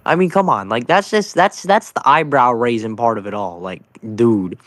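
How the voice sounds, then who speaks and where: A young man streaming and chatting casually, rambling, with his pitch jumping up when he stresses a point. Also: normal speed, a bit bright, no echo. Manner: rambling, swings up in pitch on emphatic lines. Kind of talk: casual chat.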